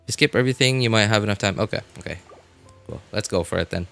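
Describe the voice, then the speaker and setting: A man's voice: on the low side, fast, gravelly, a bit bright, soft background music. A man live-streaming a game with casual commentary, chatting to viewers in a rambling way, often repeating and rephrasing himself.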